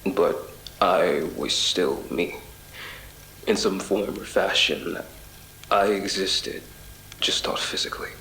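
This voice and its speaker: Audio drama, male actor, low-voiced and slow: a shaken, apologetic witness giving hushed, halting testimony of an ordeal. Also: slight background noise, full character voice. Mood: shaken, subdued. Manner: halting, hushed, breathy pauses, reflective. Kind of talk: intimate first-person audio drama monologue. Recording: roomy echo with music bed. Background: music.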